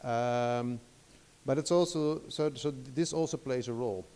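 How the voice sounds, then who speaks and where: A middle-aged man with a Dutch accent gives a lecture in English. His voice is gravelly and mellow at a middle pitch, and he hesitates often, restarting sentences and filling gaps with "uh". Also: unhurried. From Netherlands. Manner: hesitant, many restarts and fillers. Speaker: guest lecturer. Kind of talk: academic lecture.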